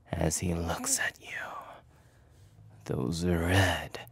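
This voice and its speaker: A man narrating a scripted ASMR story in a breathy whisper, with deliberate delivery. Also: deep voice. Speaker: voice actor narrator.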